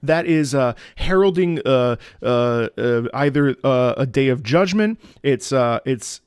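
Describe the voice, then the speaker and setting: Low-voiced man with a gravelly edge. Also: animated, unhurried, no echo, studio quality. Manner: breaks into sung demonstrations of musical phrases. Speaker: opera singer reacting to game music. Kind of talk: music reaction commentary.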